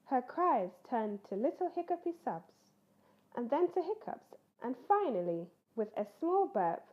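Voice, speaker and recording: Very animated, high-voiced woman reading a bedtime story. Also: measured pace, dull audio. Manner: animated, acts out character voices. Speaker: storyteller reading a picture book aloud. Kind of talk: expressive children's bedtime story read-aloud.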